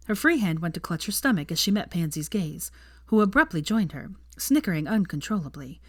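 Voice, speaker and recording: Animated woman narrating a story, dry recording. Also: fairly low pitch, brisk, dry recording. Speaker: fan fiction audiobook narrator.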